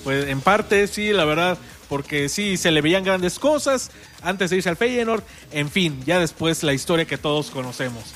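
A man's voice: on the high side, lively intonation, normal speed, a bit bright, faint music behind. A man with a Mexican accent hosting a radio sports show in casual chat, chatty with fillers and restarts. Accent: Mexican Spanish. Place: radio studio.

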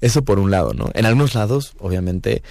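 A man's voice: lowish voice, a little fast, creaky, dry recording. A man with a Mexican accent, a guest musician chatting casually in a radio booth, rambling with many fillers. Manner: relaxed, rambling, frequent fillers and "¿no?". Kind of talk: casual interview talk.

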